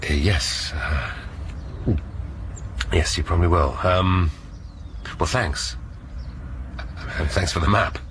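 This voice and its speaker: An actor playing a dry, learned police inspector, clipped and awkward. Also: male voice, low voice, takes it slowly, long pauses, a bit bright, slight background noise, full character voice. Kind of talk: radio drama acting.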